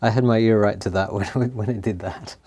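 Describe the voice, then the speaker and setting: A man casually talking through a tape machine repair, laughing often and pausing with lots of ums. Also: on the low side, steady tone, warm tone, slight background noise. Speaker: host of an electronics repair channel. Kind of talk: casual hands-on commentary.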